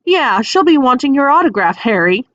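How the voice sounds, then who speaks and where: A woman's voice: high voice, very animated, moderate pace, a bit bright, no room sound, muffled recording, voice pushed up. A woman voicing cheeky teenage twin brothers in a storybook, protesting and teasing. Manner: cheeky, complaining.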